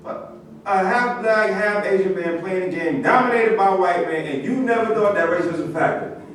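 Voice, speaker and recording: A man's voice: high voice, normal speed, lightly acted. A man in a staged reading, playing a condescending, scornful skeptic. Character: condescending, scornful male skeptic who needles rivals. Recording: very echoey room, distant mic, faint noise.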